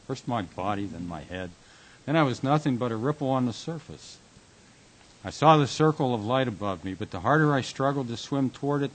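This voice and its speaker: Haunted everyman for audio play, male actor brooding and halting, lowish register, hiss on the recording. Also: lightly acted, poor audio. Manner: brooding, halting, intense monologue delivery. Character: Jack, a tormented man haunted by falling dreams. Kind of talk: radio drama acting. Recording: hissy amateur recording.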